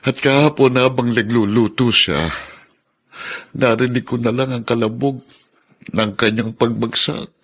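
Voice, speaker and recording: A man's voice: medium pitch, fairly even intonation, unhurried, pauses often. A man voicing a poor, humble older husband who stammers and grieves over his sick wife, in an audio drama. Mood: sorrowful. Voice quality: husky, stammering. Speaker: voice actor.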